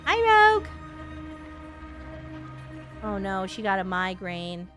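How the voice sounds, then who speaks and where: A woman streamer reacting to a game in rambling, animated, sweary casual chat. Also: medium pitch, takes it slowly, over music.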